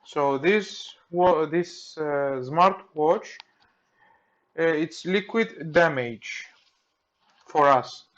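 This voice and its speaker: Greek guy fixing stuff at his bench, slow broken english, muffled camera audio. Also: a little high, fairly bright tone. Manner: halting, thinking aloud in simple English while working. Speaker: repair technician. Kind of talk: hands-on repair tutorial. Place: workbench.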